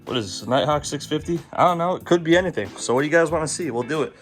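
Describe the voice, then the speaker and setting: A man's voice: fairly high pitch, expressive, racing, faint music behind. A man hosting a vlog, chatting casually to camera in a slangy, self-deprecating, sweary way. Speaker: vlog host. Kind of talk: casual chat to camera about car and bike builds.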